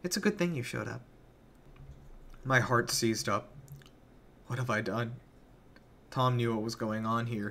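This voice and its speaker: A man's voice: fairly low pitch, a bit bright, a little hiss or hum. A man giving an intense, theatrical dramatic reading of a first-person horror story in a grave manner. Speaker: narrator.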